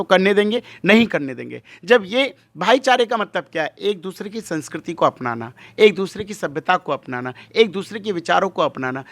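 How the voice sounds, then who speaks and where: High-pitched, emphatic male religious leader. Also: fairly bright tone, no room sound. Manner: emphatic, rhetorical questions and repetition. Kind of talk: impassioned interview monologue.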